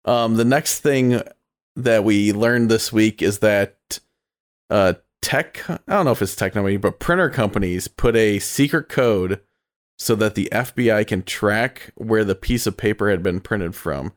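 A man's voice: lowish voice, a little slow. A man co-hosting a podcast in casual chat, easygoing, bringing up news items. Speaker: podcast co-host.

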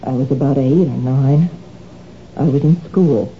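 A nervous guilt-ridden wife. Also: female voice, extremely low pitch, unhurried, slight background noise. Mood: reminiscing. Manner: defensive, pleading. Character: anxious woman caught shoplifting again. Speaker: troubled wife. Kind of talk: 1970s radio drama acting. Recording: dull old radio broadcast.

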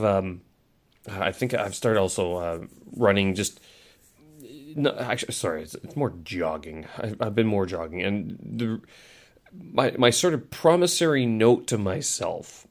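Congested man rambling on his audio blog. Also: deep voice. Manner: rambling, self-correcting, many fillers. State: congested, nasal from a cold. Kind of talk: casual solo audio blog.

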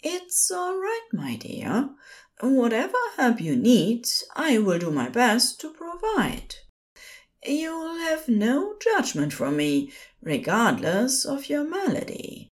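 A prim, patient male nurse from an audio story, acted by a woman. He speaks high and very sing-song, with courteous, formal phrasing and a calm, soothing manner, taking his time over each phrase. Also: slow pace, heavily acted. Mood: soothing, gentle.

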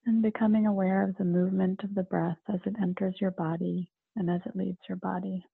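A woman leading a guided meditation in a calm, soothing manner, with gentle pauses between phrases. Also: mid-range voice, vocal fry, breathy edge, dry recording. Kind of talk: slow guided meditation narration. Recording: narrow phone-like, muffled sound.

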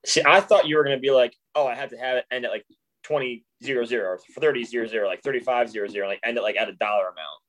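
Fast-talking chatty male podcast co-host. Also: medium pitch, a bit bright, rough recording. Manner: chatty, rambling, eager and full of asides. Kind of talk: casual chat.

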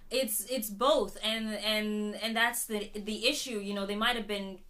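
A woman's voice: mid-range voice, fairly even intonation, unhurried, sharp tone. A young woman guest on a podcast, talking earnestly and casually, with many restarts and "you know"s. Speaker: podcast guest, protest organizer. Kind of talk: casual conversational interview.

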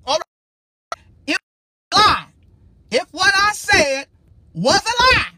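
A man with a naturally high-pitched, bright, ringing voice talks straight to his livestream audience. He preaches in a loose, emphatic way, rambling and circling back as he speaks his mind. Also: sing-song, takes it slowly, slight background noise. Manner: emphatic, rambling, addresses viewers directly. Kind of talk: casual livestream talk.

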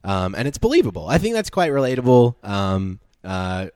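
A man's voice: on the low side, big pitch swings, moderate pace, darkish tone, smooth, no room sound, studio quality. An Australian man casually recapping a show from home, laid-back and sarcastic with lots of ums. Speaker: podcast co-host.

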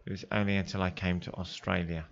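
A man with an English accent, a guest in a radio studio, talking in a relaxed, conversational way. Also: low-pitched, fast talker, gravelly, muffled recording. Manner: relaxed, conversational, with Arabic greetings. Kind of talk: casual radio interview.

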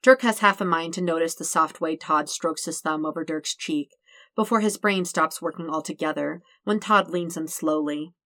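Low-voiced woman reading fiction, close and dry. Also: normal speed, fairly bright tone, no room sound. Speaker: podfic reader. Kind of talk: scripted fiction narration.

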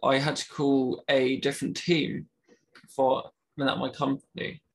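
Man, gravelly voice, husky tone, casual chat, stammers, lots of fillers. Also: mid-range voice, flat delivery, measured pace. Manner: stammers, talks openly about it; chatty, many "like" fillers. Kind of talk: casual conversation.

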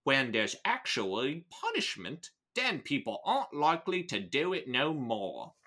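A man acts out a smug underworld boss from an audiobook-style novel reading, with a wobbly Cockney accent. The voice is bright and swings around in pitch as it rambles through threats. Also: huge pitch swings, measured pace, exaggerated voice. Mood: cold menace. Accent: shaky put-on Cockney. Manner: rambling, threatening monologue punctuated with questions. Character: menacing, smug Cockney male crime boss lecturing a victim.

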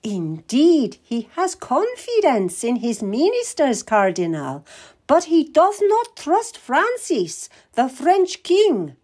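Lady reading as a sly queen acting all meek and sweet, high and sing-songy, slow. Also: wildly swooping pitch, darkish tone, heavily acted. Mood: sweetly guileful. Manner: lilting, sweetly deferential, slow and measured. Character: regal queen, shrewd, feigning meekness. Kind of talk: audiobook character voice.

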